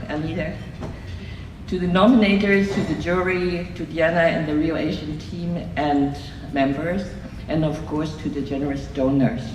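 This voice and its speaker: An older woman from Hong Kong reading a prepared award acceptance speech in a measured, reflective way, recorded from afar in a reception hall. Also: deep voice. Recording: echoey hall from far off, noisy room.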